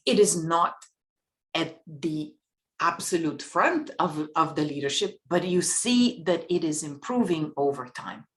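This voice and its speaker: A woman from Bulgaria giving earnest, measured panel remarks and posing rhetorical questions. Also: low voice, expressive.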